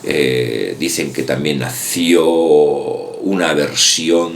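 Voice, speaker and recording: Very deep, gravelly man with lively intonation. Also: very slow, a little hiss or hum. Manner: rambling, hedging, repeats himself, many tag questions. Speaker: book vlogger. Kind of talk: casual book commentary.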